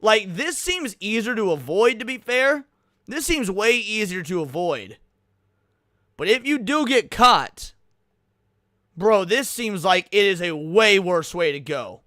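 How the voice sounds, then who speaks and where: A man's voice: sits high, very animated, unhurried, sharp tone, no echo, studio quality. A man reacting casually to a video on a livestream, talking in slang and swearing.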